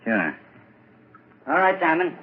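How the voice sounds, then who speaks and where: An actor playing a plain small-town police constable, husky and easily startled, in 1940s radio style. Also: male voice, fairly high pitch, very animated, slow, a little hiss or hum. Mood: matter-of-fact. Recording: dull 1940s radio recording.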